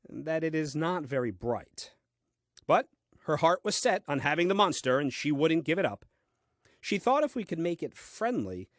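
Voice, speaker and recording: Casting: man to play puzzled, literal-minded first husband in a comic audio play; lively swooping delivery, dry pedantry, exasperated temper. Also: a little high, no echo. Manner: deliberate, theatrical, dry and pedantic.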